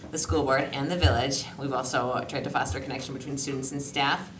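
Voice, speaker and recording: Teenage female, very deep voice, student presenter, informal, echoey, noisy room. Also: fairly even intonation, fast. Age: teens. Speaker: student club member presenting. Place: indoor school board meeting room.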